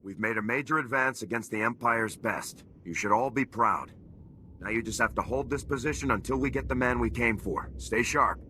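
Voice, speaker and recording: An actor playing a stern, resolute army major in a video game, firm and commanding in clipped phrases. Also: male voice, mid-range voice, moderate pace, pushed and bright, a little hiss or hum, close to an everyday voice, rough recording. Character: stern, resolute male Republic military major, squad leader. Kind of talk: video game cutscene acting.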